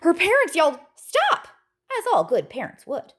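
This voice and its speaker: A woman's voice: sits high, wildly swooping pitch, a little fast. A woman storyteller reading a rhyming picture book aloud to children in a dramatic, emphatic way.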